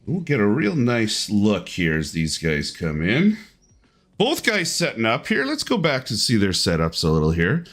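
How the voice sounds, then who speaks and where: A man's voice: fairly low pitch, huge pitch swings, moderate pace, fairly bright tone. A man hosting and commentating a live online golf game tournament in upbeat play-by-play.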